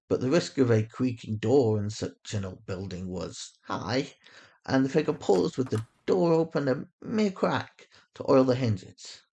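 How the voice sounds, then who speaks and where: A man reading scripted fiction as a story narrator, with measured, deliberate phrasing and dramatic pauses. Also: on the low side, darkish tone, husky.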